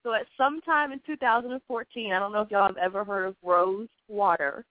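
A woman's voice: mid-range voice, brisk, dry recording, narrow, tinny audio. A woman guest on a call-in chat show, sounding congested from a cold and talking in a dreamy, playful, giggly way.

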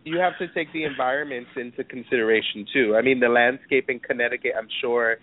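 A man's voice: medium pitch, quick pace. A man from New York, a guest in a casual discussion, talking animatedly with frequent laughs and fillers. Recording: dry, tinny phone-like line.

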